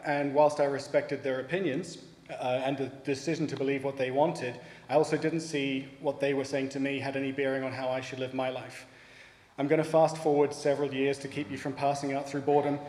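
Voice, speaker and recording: A man's voice: fairly high pitch, a little mellow. New Zealand man in an echoey hall. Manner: plain, wry and self-deprecating, clears throat. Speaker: church speaker giving testimony. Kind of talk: prepared personal testimony talk. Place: church hall. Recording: echoey hall with long reverb and faint ambience.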